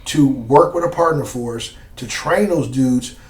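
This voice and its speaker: Relaxed, slangy man in a roomy space. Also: medium pitch, a little slow, distant mic. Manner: relaxed, slangy, lots of fillers and asides. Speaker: former Green Beret guest. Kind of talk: casual storytelling interview. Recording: roomy sound from off mic.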